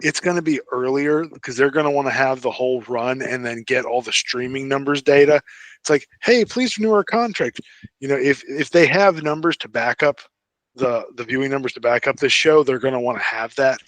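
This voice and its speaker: A man's voice: medium pitch, a little fast, fairly bright tone, dry recording. A man co-hosting a casual fan podcast, talking informally and giving his opinions freely. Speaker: podcast co-host.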